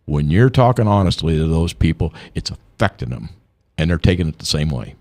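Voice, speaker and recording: An older man, a guest on a radio talk show, telling stories in an animated way and repeating words as he gets going. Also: extremely low pitch, fairly quick. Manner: animated storyteller, repeats words when excited. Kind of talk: radio interview.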